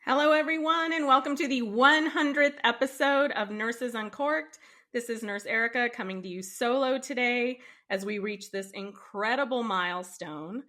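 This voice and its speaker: A woman's voice: on the high side, normal speed. A woman hosting a nursing podcast, chatting casually with a guest while congested from a cold. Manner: animated storytelling. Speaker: podcast host.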